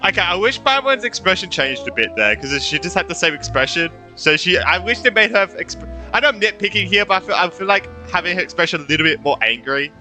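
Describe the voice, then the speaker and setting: A man's voice: high voice, big pitch swings, fast talker, few pauses, bright, forceful tone, soft background music. A man doing casual gaming commentary, reacting and swearing freely, sometimes reading dialogue aloud. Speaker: gaming streamer.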